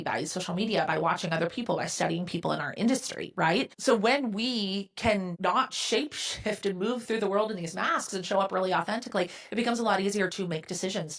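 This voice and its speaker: A woman in her forties talks fast and candidly as a podcast host, pressing each point with emphasis. Her pitch sits in an ordinary middle range. She swears now and then and keeps checking in with the listener. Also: a bit bright. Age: 40s. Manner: emphatic, candid, swears casually, rhetorical "right?". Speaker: podcast host and business mentor. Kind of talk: solo motivational podcast monologue.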